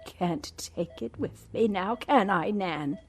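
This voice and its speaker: An actress playing a genteel, condescending old woman recovering from a stroke in an audio drama, with breathy, halting, deliberate speech. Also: medium pitch, lively intonation, exaggerated voice. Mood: quietly menacing.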